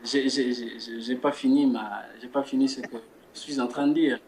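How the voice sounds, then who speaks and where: A man, a guest who speaks in a measured way and leads a spoken prayer. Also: mid-range voice, fairly even intonation, crowd noise, low-quality recording. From Democratic Republic of the Congo. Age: 20s. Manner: measured, repeats words while searching for phrasing. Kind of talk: religious interview and spoken prayer.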